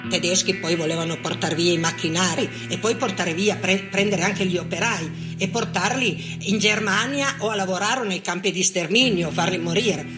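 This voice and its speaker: A woman's voice: lowish voice, normal speed, few pauses. Older Italian woman recalling the past, over music. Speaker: witness of wartime factory life. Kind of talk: oral history testimony.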